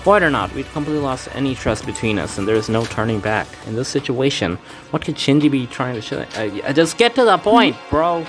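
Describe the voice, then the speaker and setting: A man's voice: mid-range voice, animated, fairly quick, music in the background. A man reading a fan game aloud, voicing its characters with casual asides and stumbles. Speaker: let's play fan dubber. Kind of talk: casual game playthrough with voiced dialogue.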